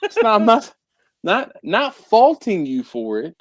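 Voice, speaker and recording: A man's voice: sits high, huge pitch swings, unhurried, no echo. Chatty man hosting a live stream. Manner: chatty, teasing, reads viewer comments aloud. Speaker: livestream host. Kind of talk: casual group chat on a live stream.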